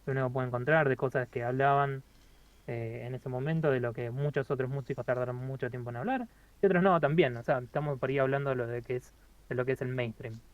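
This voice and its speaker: A man, co-hosting a casual album review, talking haltingly with many fillers and restarts. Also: medium pitch, dry recording, lo-fi sound. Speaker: podcast co-host reviewing an album.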